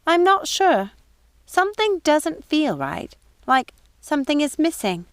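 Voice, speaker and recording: A woman voicing a timid, confused old female housekeeper in a high, polite voice. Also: very animated, a little slow, no echo, full character voice.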